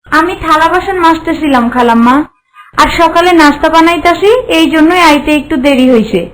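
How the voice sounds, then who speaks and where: Woman as a very high-pitched, meek young housemaid. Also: a little fast, close to an everyday voice, rough recording. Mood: meek, obedient. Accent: rural Bangladeshi. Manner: meek, deferential, earnest. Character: humble, pleading orphan housemaid girl. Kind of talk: Bengali cartoon voice acting.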